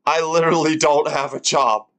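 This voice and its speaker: A man's voice: on the high side, fairly even intonation, moderate pace, a bit bright. A man in his twenties on a live stream, reacting casually and rambling with lots of fillers and the odd swear word. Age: 20s.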